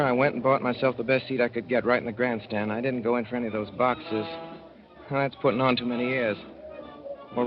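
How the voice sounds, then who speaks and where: A man's voice: mid-range voice, lightly acted. An actor playing a naive young man from the Midwest who rambles through his story in a folksy, boyish way, on an old radio play. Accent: Midwestern American. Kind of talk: 1930s radio drama narration. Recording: dull 1930s radio broadcast with music.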